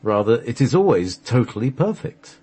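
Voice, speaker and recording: A man reading a mystical scripted text aloud as a radio show host, in a solemn, measured recitation. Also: mid-range voice, sing-song, dark tone, breathy edge.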